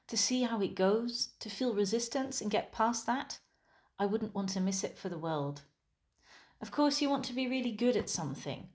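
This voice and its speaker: A woman whose first language is Dutch, a musician giving a calm, thoughtful scripted monologue. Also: medium pitch.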